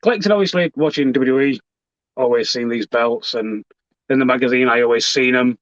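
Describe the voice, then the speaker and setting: Man, wrestler guest, halting, plainspoken, dull lo-fi call audio, dry sound. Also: medium pitch, no room sound. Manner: halting, plainspoken, many fillers. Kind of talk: casual interview chat.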